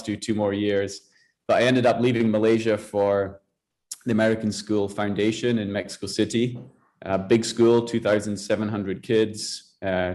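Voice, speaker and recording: A man's voice: on the low side, a little mellow. A Scottish man being interviewed on a podcast, telling his career story in a conversational, enthusiastic way. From Scotland. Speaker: guest, athletics director.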